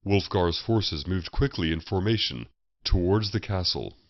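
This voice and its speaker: A man's voice: deep voice, a little slow. A man reading a historical fantasy story as a scripted audiobook narration. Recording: muffled, roomy voice recording.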